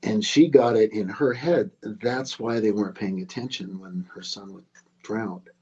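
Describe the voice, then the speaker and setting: A man's voice: lowish voice, measured pace, dark tone, slightly rough, dull audio. A man playing a young camp counselor casually telling a murder legend in a live horror script read. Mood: casual, eerie. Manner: casual, teasing storyteller.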